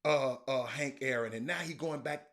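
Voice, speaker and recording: A man's voice: medium pitch, moderate pace, fairly bright tone. An American man hosting a casual sports livestream, chatting to viewers in a folksy way and repeating phrases for emphasis. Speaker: sports talk show host.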